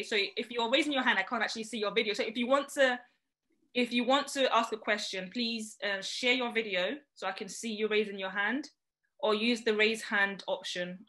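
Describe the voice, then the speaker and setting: Bright-voiced woman hosting a webinar. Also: medium pitch. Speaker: webinar host. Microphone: video call.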